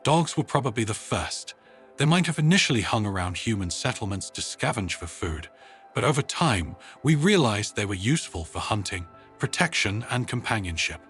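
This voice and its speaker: A man's voice: lowish voice, very animated, normal speed, fairly bright tone, faint music behind. A man voicing a wry, good-humoured woman space commander, explaining casually in a narrated sci-fi story. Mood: amused.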